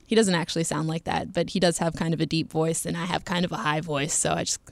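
A young woman hosts a casual podcast with a somewhat low voice for a woman. She chats playfully and laughs easily. The sound is dry, with no room around it. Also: steady tone, quick pace, creaky, dry recording. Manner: chatty and playful, laughs often. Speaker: podcast host.

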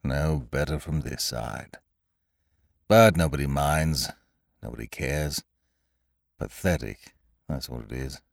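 A man voicing a gloomy old grey male donkey in an audiobook, speaking slowly and mournfully with a self-pitying sigh. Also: rumbling low, gravelly, no echo, studio quality, voice pushed down, exaggerated voice. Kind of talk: audiobook character voice.

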